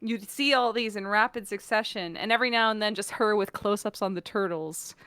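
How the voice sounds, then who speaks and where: A woman's voice: medium pitch, brisk, fairly bright tone, clear, resonant tone, no echo. A woman chatting casually as a voice actor guest, playfully rambling through imagined scenarios. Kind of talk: casual chat.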